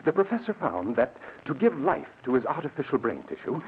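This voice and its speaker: Grave, dramatic lab assistant, muffled old radio. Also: male voice, mid-range voice, big pitch swings, fairly quick, slight background noise. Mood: grave, explaining. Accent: American. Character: secretive male lab assistant with a grave, intent temper. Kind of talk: 1940s American radio drama acting.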